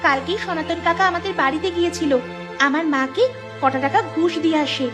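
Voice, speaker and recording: A woman's voice: extremely high pitch, a little fast, a bit bright. A woman playing an earnest young villager in a cartoon, confiding and regretful. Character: young villager, earnest and guilty, confiding in an elder brother figure. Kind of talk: cartoon character dialogue. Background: clear music.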